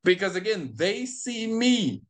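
A man's voice: high voice, sing-song, measured pace. A man telling a personal story in an interview, acting out the quoted exchanges and repeating phrases for emphasis. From United States. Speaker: interview guest. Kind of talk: conversational storytelling.

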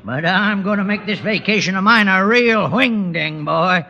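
An actor playing a cranky, lively man in his eighties with a gravelly voice and slangy talk, in old radio comedy style. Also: high voice, normal speed, dull audio. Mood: spry, gleeful. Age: 80s. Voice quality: creaky, gravelly. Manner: crotchety, slangy, spirited. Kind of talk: 1940s-50s radio comedy acting. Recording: old radio recording, reverberant, faint noise.

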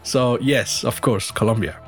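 A man's voice: mid-range voice, measured pace, faint music behind. A man from western India, an English teacher, talking casually as an interview guest and often rephrasing himself. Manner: rambling, repeats and rephrases himself.

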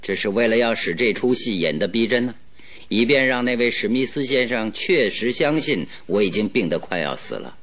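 An actor playing a shrewd, playful master detective in a Mandarin radio drama, with a leisurely, theatrical, self-assured manner. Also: male voice, mid-range voice, animated, moderate pace, a bit bright. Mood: explaining, triumphant. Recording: faint music behind the voice.

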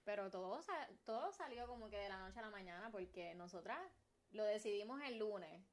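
A woman's voice: mid-range voice, expressive, moderate pace. A woman in her twenties with a Puerto Rican Spanish accent, co-hosting a casual podcast chat, laughing often and mixing in English words. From Puerto Rico. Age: 20s. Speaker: podcast co-host.

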